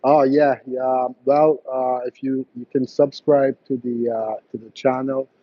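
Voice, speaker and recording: A man's voice: mid-range voice, flat delivery, unhurried, no room sound, dull audio. A man from Barbados, an electrician hosting a live stream, chatting casually with viewers and full of fillers and restarts. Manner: hesitant, many fillers and restarts.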